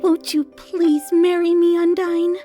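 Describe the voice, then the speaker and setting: A woman voicing a nervous, stammering, lovestruck female monster in a squeaky story-reading voice. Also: little pitch movement, dark tone, soft background music. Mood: tender, pleading. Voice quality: high, squeaky. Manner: stammering, halting, anxious. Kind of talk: dramatic fan fiction reading.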